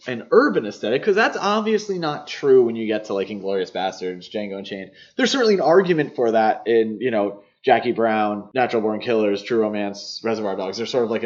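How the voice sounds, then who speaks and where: A man's voice: mid-range voice, lo-fi sound. A man co-hosting a podcast, talking through films in a casual, analytical, explanatory way. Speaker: podcast co-host. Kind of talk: casual film discussion.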